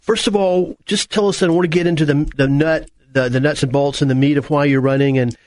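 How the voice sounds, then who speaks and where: A man hosting a radio talk show in a conversational, somewhat halting way, often rephrasing. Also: a little high, steady tone, a little mellow.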